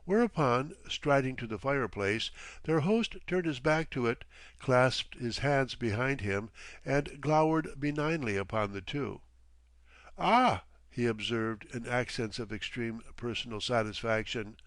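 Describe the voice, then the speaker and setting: A man reading period fiction as an audiobook narrator, in expressive scripted narration. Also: mid-range voice, unhurried, dry recording.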